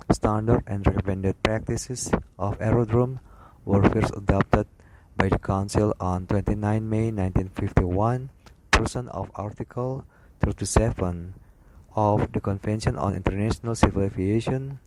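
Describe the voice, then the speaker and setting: A man with a slightly low voice reads a lesson script very slowly. His phrasing is halting and broken, and he stumbles over words. Loud noise and clicks sit behind his voice. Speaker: instructor reading aviation regulations. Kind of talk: read-aloud scripted lesson narration.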